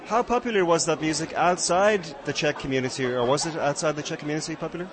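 A man's voice: fairly high pitch, quick pace. A male interviewer asking casual, curious questions at an outdoor event. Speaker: radio interviewer. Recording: on location with crowd chatter. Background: crowd chatter.

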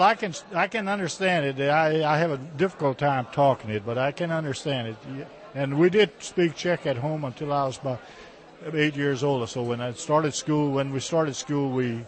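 A man from Texas, a heritage center director answering interview questions in a halting, folksy way, often repeating words. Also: mid-range voice. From Texas, USA.

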